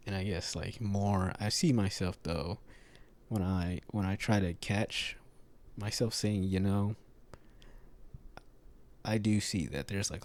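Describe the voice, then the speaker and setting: Low, gravelly male vlogger, slightly nasal, hesitant delivery with lots of "um" and "like", mellow tone. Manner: halting, many fillers and pauses. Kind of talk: casual reflective monologue.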